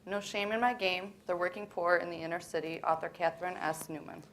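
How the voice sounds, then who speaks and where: A woman's voice: lowish voice, level tone, a little fast, a bit bright. A young woman student presenter reading scripted readers theater narration. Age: young adult. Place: indoor college library event. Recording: faint background room noise.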